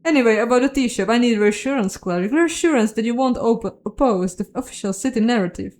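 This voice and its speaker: A woman voicing a self-important, distrustful male magistrate in a video game, brisk, officious and demanding. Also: fairly high pitch, darkish tone, lightly acted. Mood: brisk, impatient. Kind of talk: video game character reading.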